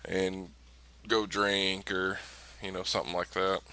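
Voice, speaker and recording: Male tabletop player, low and bright, casual discussion, slight background noise. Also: little pitch movement, measured pace, poor audio.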